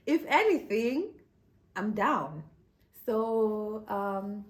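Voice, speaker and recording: Chatty woman telling a story. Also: mid-range voice. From Kenya. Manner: chatty, laughs often, leans on "you know". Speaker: vlogger. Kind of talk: casual storytelling to camera.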